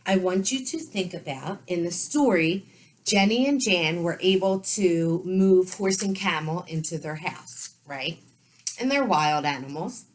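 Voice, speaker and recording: A woman's voice: fairly low pitch, a little hiss or hum. A woman teacher giving lesson instructions to young children in an informal, explanatory way. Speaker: second grade teacher.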